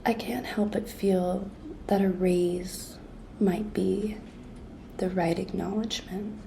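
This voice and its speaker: A woman acts out a coy, pushy young female intern in an ASMR roleplay. She whispers softly and slowly, with a creaky edge, coaxing and teasing her boss. Her tone is very mellow and intimate. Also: medium pitch, fairly even intonation, a little hiss or hum, low-quality recording. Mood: tentative, persuasive. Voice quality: breathy whisper, soft-spoken.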